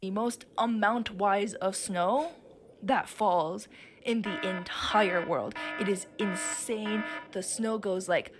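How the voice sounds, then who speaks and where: A young woman from Japan chats casually on a stream, telling stories and acting out quoted voices with plenty of swearing. Her voice sits in the middle range. Music plays clearly in the background. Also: mid-range voice, slow pace. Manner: animated, swears freely, acts out quoted people. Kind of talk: casual chat. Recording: music playing behind.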